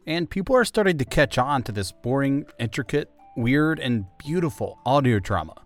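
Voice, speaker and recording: A man's voice: mid-range voice, animated, moderate pace, soft background music. A man hosting an interview podcast, speaking in a thoughtful, explanatory conversational way. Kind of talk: conversational interview with narrated segments.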